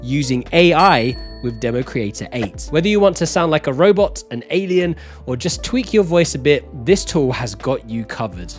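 A man in his thirties hosting a scripted tutorial in an emphatic, animated presenter style, close to a desk microphone. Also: a little high, moderate pace. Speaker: host of a software tutorial channel. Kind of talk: scripted upbeat video tutorial narration. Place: small home-style studio, indoors. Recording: background music. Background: music.

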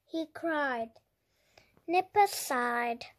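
A very high-pitched, childlike voice reads a picture book aloud. The delivery is extremely slow and deliberate, with dramatic emphasis suited to young children. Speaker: children's storybook reader. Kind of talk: expressive read-aloud narration for young children.

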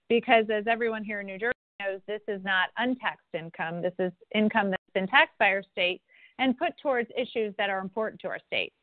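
A congresswoman hosting a telephone town hall, answering questions in a conversational way. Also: mid-range voice. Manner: conversational, with frequent restarts and fillers. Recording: dry, tinny phone line.

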